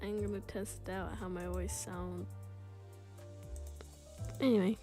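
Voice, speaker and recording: A woman's voice: medium pitch, fairly even intonation, takes it slowly, music in the background. A young woman streaming a game, chatting casually to herself in rambling thoughts that trail off mid-sentence. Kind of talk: casual live-stream chat.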